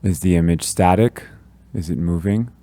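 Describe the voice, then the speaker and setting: A man leading a guided meditation, giving gentle, calm instructions with long pauses between phrases. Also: low-pitched.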